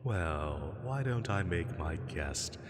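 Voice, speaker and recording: A man voicing a huge male dragon in a roleplay sleep-aid drama, teasing and soothing with gentle menace, lazily amused, with drawn-out pauses. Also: low voice, lively intonation. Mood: teasing, coaxing. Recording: long cavernous reverb.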